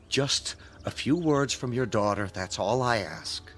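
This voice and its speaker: Volatile, defiant young suitor. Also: male voice, medium pitch, animated, slow, fairly bright tone. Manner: intense, insistent. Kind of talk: radio drama acting.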